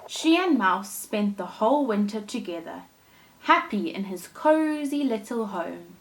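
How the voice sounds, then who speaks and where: A woman teacher reading a storybook aloud to young children, in a deliberate, expressive way with dramatic emphasis. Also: mid-range voice, low-quality recording. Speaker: primary school teacher reading to her class.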